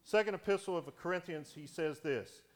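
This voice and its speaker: Deacon preaching with a big voice. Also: male voice, fairly high pitch, moderate pace. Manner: animated preaching, swings from loud to hushed. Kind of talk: sermon. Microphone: wearing a microphone.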